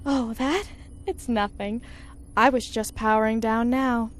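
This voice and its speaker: A woman's voice: a little high, fairly even intonation, measured pace, soft background music, lightly acted. An actress playing a polite, coy and murderous female ship's artificial intelligence in a 1950s-style space radio serial. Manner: courteous, coy.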